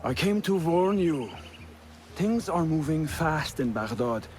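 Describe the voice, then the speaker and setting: A man's voice: on the high side, big pitch swings, slow pace, darkish tone, a little hiss or hum. An actor playing a formal, grave political envoy in a video game, cautioning his listener. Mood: grave, warning. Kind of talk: dramatic video game acting.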